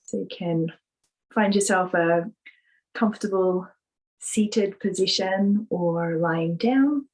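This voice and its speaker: A woman leading a guided visualisation meditation, speaking softly in a gentle, soothing manner with drawn-out pauses. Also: mid-range voice, poor audio.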